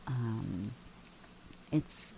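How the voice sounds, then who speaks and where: A young adult woman streamer chatting softly with her viewers, drifting in and out of whispers, relaxed and affectionate. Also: rumbling low, drawn-out words, creaky, slight background noise, poor audio. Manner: relaxed, affectionate, reads and answers chat; drifts between soft talk and whispers. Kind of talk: soft-spoken ASMR chat with viewers.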